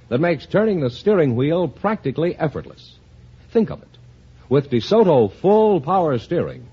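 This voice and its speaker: A man's voice: on the high side, warm tone, slightly rough. An American man reading a 1950s radio advertisement with polished, emphatic salesmanship. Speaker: commercial announcer. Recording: muffled vintage radio recording.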